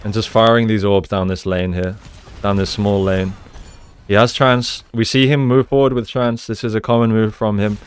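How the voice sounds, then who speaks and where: A man giving gameplay analysis commentary as a coach, explaining in a measured way and repeating phrases for emphasis. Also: fairly low pitch, steady tone, clear, resonant tone. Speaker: esports coach, video host.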